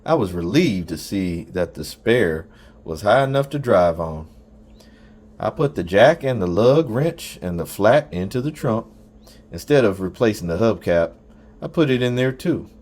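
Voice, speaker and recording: A man's voice: lowish voice, very animated, unhurried, darkish tone. A man reading a first-person story aloud as an audiobook narrator.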